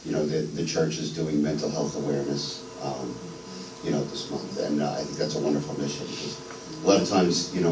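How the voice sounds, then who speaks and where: A middle-aged man from New York giving an unscripted personal testimony as a guest speaker, halting with many ums and restarts. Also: low voice, distant mic, background noise. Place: church sanctuary. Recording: echoey church hall, voice from afar.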